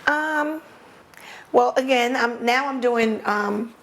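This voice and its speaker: A woman's voice: a little high, slow, a bit bright, low-quality recording. An older woman from New York with a New York accent, a baker interviewed as a guest, talking in an easygoing, plainspoken way. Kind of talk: casual interview.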